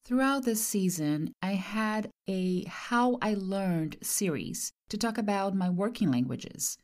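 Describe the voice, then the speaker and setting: A woman's voice: mid-range voice, expressive, slow, a little mellow. Brazilian-born woman hosting a podcast. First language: Portuguese. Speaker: podcast host. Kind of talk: scripted podcast narration.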